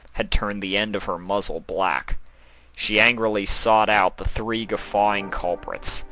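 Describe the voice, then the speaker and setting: Low, bright-voiced man narrating a story. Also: dry recording, low-quality recording. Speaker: narrator of fan fiction readings. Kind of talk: expressive scripted story narration.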